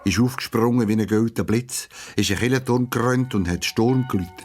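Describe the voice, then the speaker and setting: A man's voice: mid-range voice, fast talker. A man with a Swiss German accent narrating a scripted story for children.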